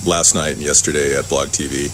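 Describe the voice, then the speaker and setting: Deep-voiced man in a campaign clip. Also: little pitch movement, fairly quick, fairly bright tone, slight background noise. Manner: upbeat, showing off. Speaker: political candidate. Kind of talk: campaign video.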